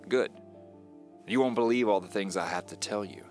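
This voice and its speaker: Man playing wry, brooding amateur tape diarist, low-pitched, measured confessional delivery for mystery radio play, soft music bed. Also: lightly acted. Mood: confiding. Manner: measured, confiding, wry storytelling. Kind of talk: first-person audio drama monologue.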